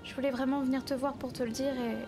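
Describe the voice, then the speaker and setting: A woman's voice: high-pitched, flat delivery, fast, music in the background, natural voice. A woman playing an earnest, awkward young film actress in a game roleplay, talking informally and hesitantly. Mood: dejected, apologetic. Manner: hesitant, informal, repeats herself.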